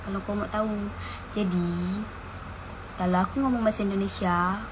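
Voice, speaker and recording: Woman, Pontianak Malay accent, blunt, casual talk, mid pitch, noisy background. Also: steady tone, normal speed.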